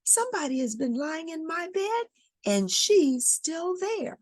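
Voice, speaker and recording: Little baby bear, done by a lady reading a storybook, plaintive, pitch jumps all over. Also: big pitch swings, a little slow, no echo, exaggerated voice. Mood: alarmed. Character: small upset young bear cub.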